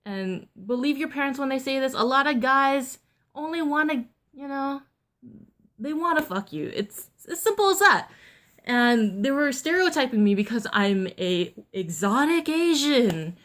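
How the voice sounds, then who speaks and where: A young woman vlogger with a deeper voice than most women, telling a personal story in a candid, blunt, chatty way with lots of fillers. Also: animated, measured pace, fairly bright tone. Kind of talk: casual storytime vlog.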